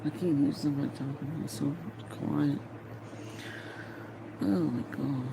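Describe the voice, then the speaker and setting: A woman reading a novel aloud informally, halting and stumbling over words, with muttered casual asides to herself. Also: sits low, fairly even intonation, vocal fry, background noise. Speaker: amateur reader of a novel aloud.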